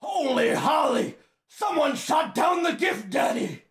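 A woman voicing an excitable, loud man with a gravelly voice in a tabletop game. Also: high voice, a little slow, voice pushed up. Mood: shouting. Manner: shouting eagerly.

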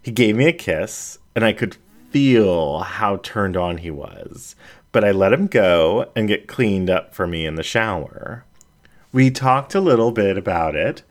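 A man voicing a playful adult female animal character in an audiobook, with an animated, confiding storytelling manner. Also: fairly low pitch. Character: playful adult female anthropomorphic animal sharing personal anecdotes.

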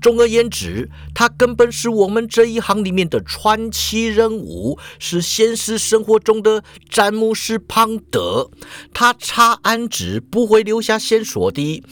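A man's voice: sits high, very animated, measured pace, fairly bright tone, pitched above the performer's own voice, heavily acted. A blunt male secret agent scolds and lays out the facts in a raised, insistent voice, as a man puts him on in a story reading. He sounds stern and impatient. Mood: explaining. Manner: stern, accusing, lecturing.

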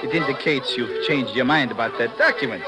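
A man's voice: medium pitch, fairly quick, lightly acted. An actor playing a genial, sly European middleman in a 1950s radio drama, with a German accent and formal, wheedling manners. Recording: vintage radio recording with loud music.

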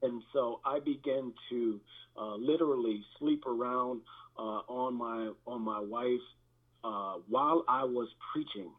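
A man speaking as a guest pastor, giving a candid personal testimony in a halting, reflective manner with repeated words, through thin band-limited audio. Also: mid-range voice, narrow, tinny audio.

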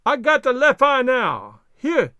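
A storybook servant, simple and obliging. His high voice rises and falls freely in folksy dialect, always literal-minded and eager to please. The sound is dry and close. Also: huge pitch swings, slow, bright, forceful tone, no room sound, highs cut off, exaggerated voice. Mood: puzzled, eager. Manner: folksy nonstandard dialect speech.

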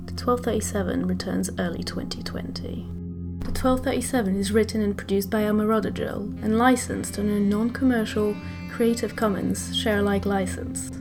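Female announcer, mid-pitched, scripted podcast outro credits with music clearly underneath. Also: steady tone, moderate pace.

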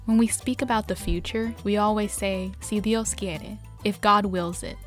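A young woman from New York narrates memories of her upbringing in a plain, reflective voice of middle pitch. Her delivery is scripted and even. Soft music plays faintly underneath. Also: moderate pace. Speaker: journalist and podcast apprentice.

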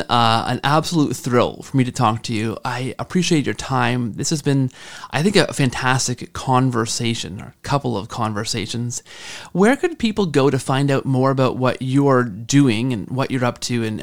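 A man's voice: medium pitch, normal speed. A man speaking as a podcast host, effusive and thankful in his delivery. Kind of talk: podcast interview and scripted sign-off.

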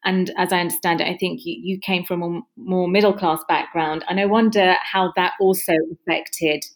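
A woman with a low-pitched voice conducts an interview. She puts thoughtful, considered questions as a fellow writer. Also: steady tone, moderate pace. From South London, England.

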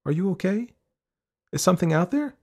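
A man's voice: high voice, sing-song, a little fast, vocal fry, mellow, voice pushed up, exaggerated voice. A man voicing a young girl in a story, earnest, hurt and a little stubborn. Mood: eager, worried.

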